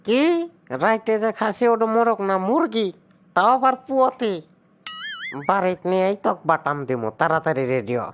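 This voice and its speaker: A man's voice: high voice, moderate pace, highs cut off, slight background noise, natural voice. A man playing an entitled young village son-in-law in a comedy cartoon, with a Sirajganj Bangladeshi accent and a complaining, sarcastic way of talking. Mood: indignant.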